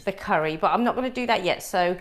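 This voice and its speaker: A middle-aged woman whose first language is Punjabi, teaching a live cooking class. She talks viewers through each step in a friendly, chatty way at a mid pitch. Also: animated, quick pace.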